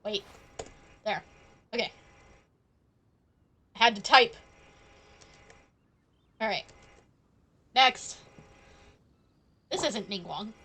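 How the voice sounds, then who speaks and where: A woman's voice: mid-range voice, lively intonation, very slow, pauses often, husky. A woman streaming a game with casual live commentary, snarkily talking back to the characters. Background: soft game music.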